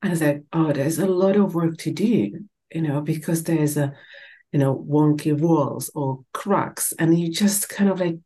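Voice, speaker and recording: Low-voiced Czech woman hosting a podcast. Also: a little slow, warm tone, husky. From Czech Republic. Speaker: podcast host. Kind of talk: casual conversational interview.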